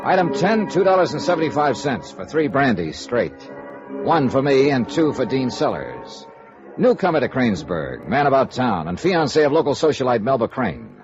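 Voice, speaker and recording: A man's voice: mid-range voice, natural voice. A man playing a wry, hard-boiled insurance investigator in 1950s radio noir, clipped and probing. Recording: dull vintage radio recording, music.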